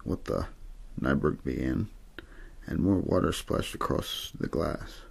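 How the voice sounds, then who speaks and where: A man reading a story aloud in ASMR bedtime style, with an understated, untheatrical delivery and a gravelly, husky voice. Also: rumbling low, expressive, slow. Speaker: amateur audiobook reader.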